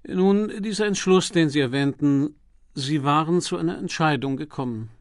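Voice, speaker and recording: A man voicing a dry, authoritative medical specialist in an audiobook, questioning a patient in a measured, formal, clinical way. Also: on the high side, dry recording, natural voice. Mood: probing, clinical. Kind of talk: audiobook character dialogue.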